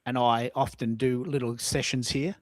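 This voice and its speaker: A man's voice: mid-range voice, fairly even intonation, normal speed, dry recording, studio quality. A man hosting a casual live-stream chat, informal, rambling and blunt in his way of talking. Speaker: host, social media coach.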